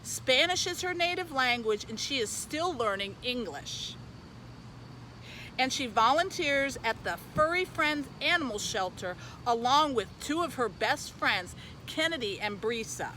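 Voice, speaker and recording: Female children's librarian, bright and animated read-aloud delivery, faint outdoor traffic noise. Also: high voice, a little slow, poor audio. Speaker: youth services librarian presenting a book.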